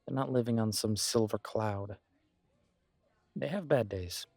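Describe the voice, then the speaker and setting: Man acting as caring best friend, lowish male voice, dry close sound, reassuring, conversational, earnest roleplay monologue. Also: creaky, darkish tone, dry recording. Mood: reflective, reassuring. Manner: reassuring, chatty, reflective pauses. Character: warm, encouraging male best friend, self-deprecating, gently earnest.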